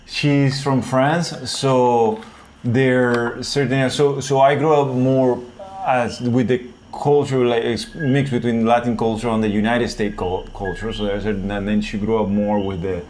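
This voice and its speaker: A man's voice: medium pitch, little pitch movement, unhurried, darkish tone, slight background noise, poor audio. A man with a Puerto Rican accent, co-hosting a vlog in casual chat, often searching for words and restarting. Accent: Puerto Rican Spanish. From Puerto Rico. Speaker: vlog co-host.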